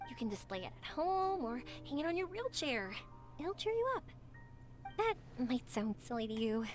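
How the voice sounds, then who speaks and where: An actress voicing a cheerful, talkative young woman in a game, speaking in a bright, encouraging way. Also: sits high, sing-song, normal speed, soft background music. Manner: bright, encouraging, sing-song. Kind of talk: game voice acting.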